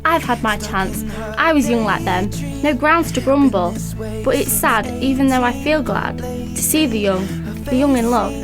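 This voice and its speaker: A woman plays an old English woman of the street, high in pitch, over clear background music. She is plain-spoken, wistful and given to grumbling, in radio drama style. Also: animated, moderate pace, close to an everyday voice. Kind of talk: radio play acting.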